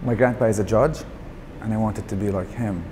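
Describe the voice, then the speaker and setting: A man in his thirties from Syria, with a Syrian Arabic accent, speaking in a soft-spoken, reflective documentary interview. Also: fairly low pitch, fairly even intonation, fairly quick, background noise. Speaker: artist. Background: background music.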